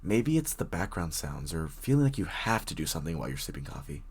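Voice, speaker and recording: A man playing a very shy, friendly young writer in an intimate coffee shop roleplay, speaking hesitantly with nervous stammers and a husky voice. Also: very deep voice, very animated, quick pace, heavily acted. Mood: chatty, friendly. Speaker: audio roleplay voice actor. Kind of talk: intimate one-sided roleplay acting, casual chat.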